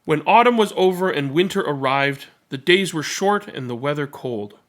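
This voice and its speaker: A man's voice: on the high side, animated, moderate pace. A man who reads children's stories aloud and talks casually as host. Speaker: storybook reader and channel host. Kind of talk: children's read-aloud narration with casual commentary.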